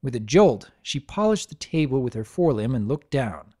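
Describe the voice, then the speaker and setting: A man's voice: mid-range voice, normal speed. A man narrating a dramatic reading of a fan fiction story. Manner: expressive storytelling.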